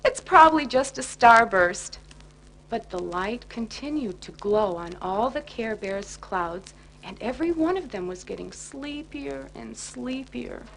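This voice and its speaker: Lady reading a kids bedtime story, expressive, high voice, faint noise like an old record. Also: unhurried, creaky, hardly any pauses. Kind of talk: children's bedtime story narration. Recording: noisy 1980s children's record.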